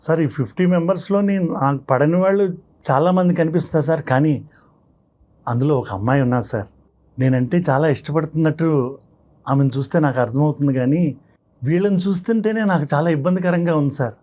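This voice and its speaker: A man's voice: a little high, fast, a little mellow. A man from Visakhapatnam giving an earnest, respectful personal account as a guest on a talk show. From Visakhapatnam, India. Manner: respectful, frequently addressing hosts as sir or madam. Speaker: guest telling his problem on a counselling show.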